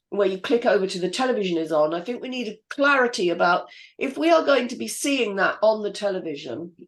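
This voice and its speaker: A woman hosting a script reading panel and giving notes on formatting. She thinks aloud and hesitates with frequent fillers. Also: mid-range voice. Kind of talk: casual feedback discussion.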